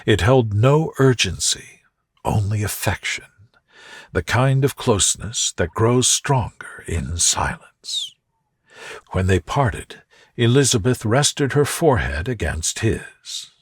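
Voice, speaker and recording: Male narrator for sleep stories: soft and gentle, slightly husky, very unhurried delivery. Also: fairly low pitch, expressive, no room sound. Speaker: narrator reading a sleep story. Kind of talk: soothing scripted bedtime narration.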